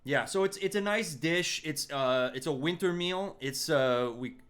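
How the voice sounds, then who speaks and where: A man in a comedy bit, playing a restaurant owner and pitching his menu in a put-on Greek work accent with earnest salesman patter. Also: a little slow, a bit bright, clean tone.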